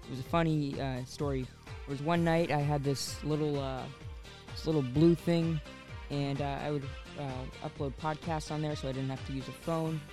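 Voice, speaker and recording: A man hosting a podcast in a casual, unscripted monologue, rambling a little and halting as he goes. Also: fairly high pitch, steady tone, over music. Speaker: podcast host.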